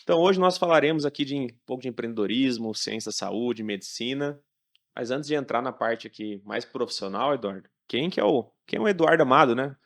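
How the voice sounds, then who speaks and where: A man's voice: mid-range voice, lively intonation, brisk. A Brazilian man hosting a podcast, speaking in a casual interviewing style. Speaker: podcast host.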